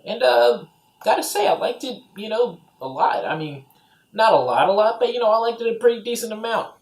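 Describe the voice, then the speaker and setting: Guy with a kinda higher voice doing a chill offhand movie review, rambles a lot, echoey room. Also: expressive, a little fast, darkish tone. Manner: rambling, offhand, peppered with "you know" and "like". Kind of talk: casual, informal movie review. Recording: roomy, echoey home recording.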